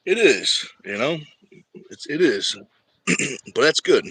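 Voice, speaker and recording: Bright-voiced man chatting on a livestream. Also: medium pitch, very animated, slow pace, husky, no echo, rough recording. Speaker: livestream host. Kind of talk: casual livestream chat about arm wrestling.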